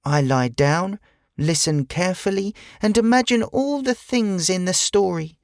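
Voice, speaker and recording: A woman's voice: lowish voice, a little slow, a little mellow, no room sound, clean studio sound. A woman voicing a kind, cheerful little girl in a children's storybook, chirpy and earnest in manner. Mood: excited delight. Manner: chirpy, wide-eyed, earnest. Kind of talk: children's storybook character acting.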